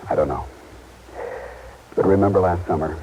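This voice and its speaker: An actor playing an earnest, driven Air Force astronaut and loving husband in a vintage radio drama, measured and intimate. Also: male voice, deep voice, dull audio, slight background noise, close to an everyday voice. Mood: wistful, fascinated. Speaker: lead actor and narrator. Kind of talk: 1950s-60s radio drama acting.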